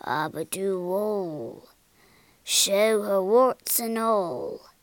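A grave old wizard from a storybook, voiced by a woman. He mutters slowly and deliberately, dropping lower and quieter, close and dry. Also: no room sound. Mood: incantatory. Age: older.

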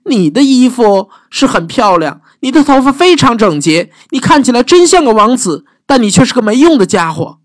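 A man voicing a clever, brave young princess in a children's story, exclaiming eagerly and heaping on flattery. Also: very high-pitched, moderate pace, hardly any pauses, dry recording, studio quality, voice pushed up. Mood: scornful.

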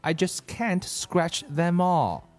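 A man's voice: sits high, lively intonation, takes it slowly, faint music behind, pitched above the performer's own voice. A man voicing a miserable young schoolgirl who whines and complains about itching, in a children's storybook. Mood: complaining, fretful.

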